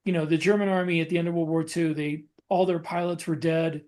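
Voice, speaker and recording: A man co-hosting a podcast, talking casually and haltingly as he pieces thoughts together. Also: fairly high pitch, level tone, a little mellow. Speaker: podcast co-host.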